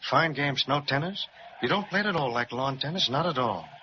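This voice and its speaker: A man's voice: mid-range voice, animated, brisk, a little hiss or hum, close to an everyday voice. An actor playing a pompous, forgetful snowman who rambles and repeats himself, in an old radio serial. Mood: rambling. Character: jolly snowman directing traffic, rambling and forgetful. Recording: muffled 1930s radio recording.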